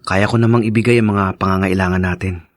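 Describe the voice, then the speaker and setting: An actor playing a caring young husband and dutiful son in a radio drama, gentle, polite and reassuring. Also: male voice, sits low, fairly even intonation, fast talker, no room sound, clean studio sound, close to an everyday voice. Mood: reassuring, concerned. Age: young adult. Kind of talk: Tagalog radio drama acting.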